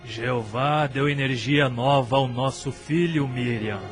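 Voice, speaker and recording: A man playing a grave, pious father in a religious radio drama, measured and formal. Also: mid-range voice, over loud music, natural voice. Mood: concerned. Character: grave, pious, stern but caring father, a carpenter.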